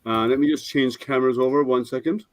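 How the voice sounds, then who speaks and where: Older man, a bit scratchy and halting. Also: mid-range voice, fairly even intonation, dry recording. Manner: halting, many restarts and fillers while explaining. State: slightly scratchy voice. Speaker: streamer showing his 3D printer build. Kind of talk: casual live-stream chat.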